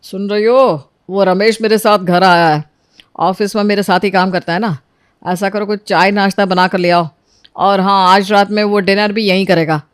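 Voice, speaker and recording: A woman's voice: medium pitch, fairly even intonation, moderate pace, smooth, no room sound, clean studio sound, natural voice. A woman voicing a curt, demanding husband in a family story, bossy and impatient. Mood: brisk, ordering.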